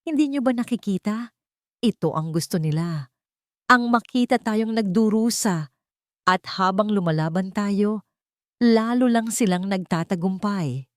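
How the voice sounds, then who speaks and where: A woman's voice: mid-range voice, unhurried, long pauses, no echo, clean studio sound, natural voice. A woman voicing a desperate, weeping young pregnant heiress in an audiobook drama, pleading in anguish.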